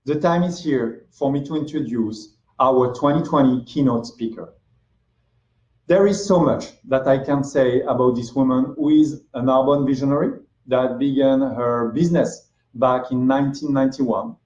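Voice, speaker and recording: A man's voice: mid-range voice, steady tone, moderate pace, a little hiss or hum. A man formally introducing a keynote speaker, full of praise. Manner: warm and praising. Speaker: event presenter. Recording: echoey and dull.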